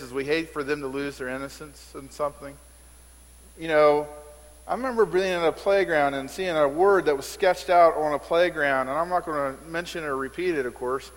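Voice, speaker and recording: Guy preaching in church, kinda high voice, echoey hall, repeats himself, gets louder when he pushes a point. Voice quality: says he has a squeaky voice. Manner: conversational preaching, repeats words, rises in emphasis. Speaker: pastor. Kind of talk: sermon. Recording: reverberant hall sound.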